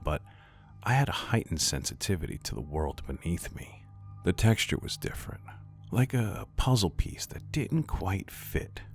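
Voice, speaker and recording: A man's voice: deep voice, creaky. A man reading a scripted first-person scary story in a dramatic, ominous manner. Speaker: horror story narrator. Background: faint music.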